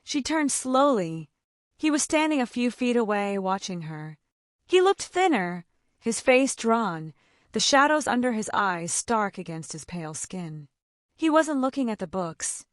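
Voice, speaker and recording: A woman narrating a scripted romance story in an even, measured way. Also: on the high side, dry recording, studio quality.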